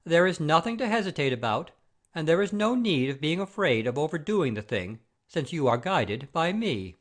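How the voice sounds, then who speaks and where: A man's voice: a little high, sing-song, moderate pace. A man playing an imperious, pompous father-in-law obsessed with honour and rank in a classic comedy audio play. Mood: bossy, insistent. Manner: commanding, pompous, formal.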